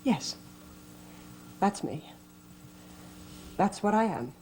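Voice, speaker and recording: An actress playing a mysterious, guilt-torn woman in a gothic soap opera, speaking in a theatrical, halting, confessional way. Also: mid-range voice, sing-song, a little mellow, a little hiss or hum, exaggerated voice. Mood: resigned.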